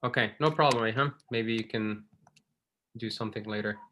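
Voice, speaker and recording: A man's voice: lowish voice, fairly quick, fairly bright tone, a little hiss or hum. A man teaching an online English class over a video call, friendly and patient, with loose fillers between thoughts.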